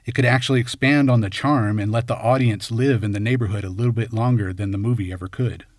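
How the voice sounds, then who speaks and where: A man narrating a scripted film analysis in measured, short punchy phrases. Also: on the low side, steady tone, a little mellow, dry recording, clean studio sound. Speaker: video essay host and narrator.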